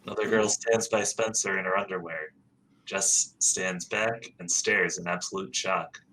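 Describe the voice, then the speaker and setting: A man's voice: low voice, steady tone, unhurried, sharp tone, a little hiss or hum, poor audio. A man reading out stage directions as scripted narration at a table read.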